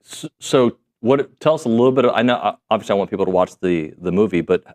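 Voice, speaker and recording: A man's voice: fairly low pitch, lively intonation, fast talker, a little mellow. A man in his forties hosting a talk show from a studio, joking with his guest and asking conversational questions. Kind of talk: casual sports talk show interview.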